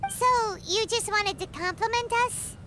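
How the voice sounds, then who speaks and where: A woman voicing a tiny, excitable floating fairy girl in a video game, with a childlike, squeaky, bubbly delivery. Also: unhurried, slight background noise, exaggerated voice. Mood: cheeky. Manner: bubbly, refers to herself in third person. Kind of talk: cartoonish video game voice acting.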